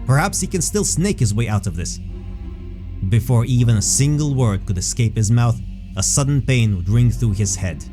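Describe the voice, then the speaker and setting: A man narrating a scripted fantasy story as a measured storyteller. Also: mid-range voice, animated. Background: background music.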